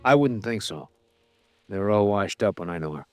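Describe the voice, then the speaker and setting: A man's voice: lowish voice, expressive, moderate pace, no room sound, faint music behind, natural voice. An American man playing a world-weary, lovelorn trumpeter in a 1950s radio drama, talking in loose, hesitant slang. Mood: guarded.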